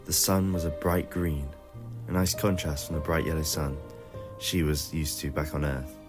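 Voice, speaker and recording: A man's voice: extremely low pitch, flat delivery, normal speed, over music. A man reading scripted narration for an adventure audio story.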